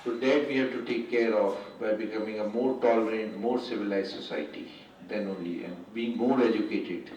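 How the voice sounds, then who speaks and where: An Indian man whose first language is Urdu gives an unscripted formal address at a symposium. He speaks in a halting way and often restarts and corrects himself. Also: lowish voice, steady tone, darkish tone, slight background noise. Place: large lecture hall. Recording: echoey hall, distant, muffled.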